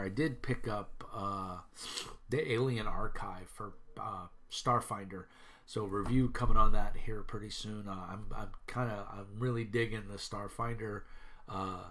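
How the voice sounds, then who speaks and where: A man in his thirties with a stuffy head cold talks through his vlog in a slightly low voice. He rambles at an easy pace, full of fillers and restarts. He sounds like a regular reviewer chatting casually to his viewers. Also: fairly bright tone. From Minnesota. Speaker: YouTube reviewer of tabletop games.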